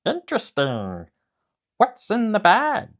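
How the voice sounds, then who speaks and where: A man voicing a mischievous male monkey in a storybook, chattering with squeaky cries. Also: wildly swooping pitch, slow pace, a bit bright, exaggerated voice. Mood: chirpy.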